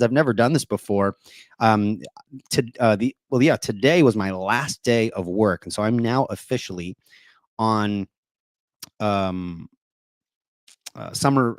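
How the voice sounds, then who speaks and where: A middle-aged man hosting a casual live stream, talking softly and deliberately quiet, rambling with plenty of fillers. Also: lowish voice, no room sound, studio quality. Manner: soft-spoken, deliberately quiet to save his voice. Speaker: host of apologetics live stream.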